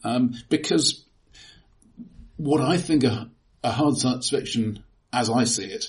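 A man co-hosting a casual film discussion, speaking in a hesitant, halting, analytical way. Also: medium pitch. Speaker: podcast co-host.

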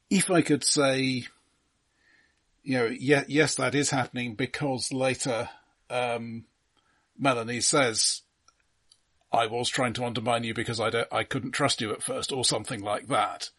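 A man co-hosting a film podcast, talking casually in a measured, analytical way. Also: mid-range voice, steady tone, fairly bright tone, breathy edge.

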